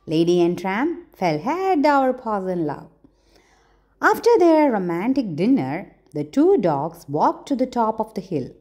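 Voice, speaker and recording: A woman storyteller reading a children's storybook aloud, with deliberate, expressive phrasing and dramatic pauses. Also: medium pitch. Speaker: storyteller, channel host.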